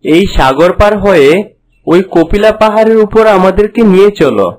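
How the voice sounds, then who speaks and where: A man's voice: high voice, lively intonation, moderate pace, darkish tone. A man voicing a caring, resolute young hero in a cartoon fairy tale. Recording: music behind the voice.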